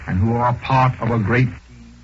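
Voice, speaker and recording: A man's voice: medium pitch, normal speed, husky. An American man, an official, giving a formal prepared address on 1940s radio. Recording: dull, noisy 1940s radio recording.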